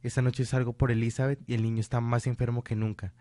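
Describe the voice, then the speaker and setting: A man acting an earnest, worried doctor in a student radio drama, speaking gravely and deliberately. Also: mid-range voice, flat delivery, dark tone, no echo, lightly acted. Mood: grave, urgent. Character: earnest doctor and worried father plotting justice.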